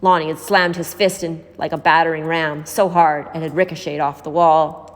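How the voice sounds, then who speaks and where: A woman's voice: sits low, flat delivery, moderate pace. A woman reading a scripted story aloud as an audiobook narrator. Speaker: audiobook reader. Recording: echoey hall-like reverb.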